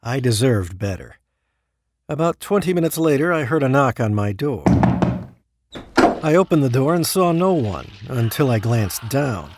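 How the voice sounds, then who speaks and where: A male actor plays a self-important dead man who has just arrived in Hell. His voice is husky and rough-edged. He narrates and argues in a haughty, complaining way, as in an audio drama. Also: mid-range voice, very animated, moderate pace. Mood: self-important narrating. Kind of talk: first-person audio drama narration and dialogue.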